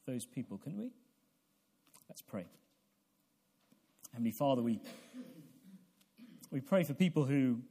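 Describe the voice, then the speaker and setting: Mellow-voiced male preacher in his forties. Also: medium pitch. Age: 40s. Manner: deliberate, halting delivery with repeated phrases and rhetorical questions. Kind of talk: evangelical sermon with prayer.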